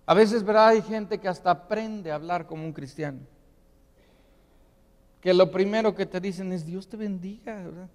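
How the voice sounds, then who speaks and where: A man's voice: sits high, moderate pace, a little mellow, long reverb tail. A man preaching a sermon in earnest, often stopping to ask rhetorical questions. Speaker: pastor. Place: church sanctuary.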